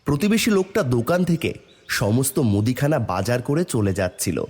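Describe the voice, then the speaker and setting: A man narrating an animated moral fable as a scripted storyteller. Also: medium pitch, fairly quick, husky.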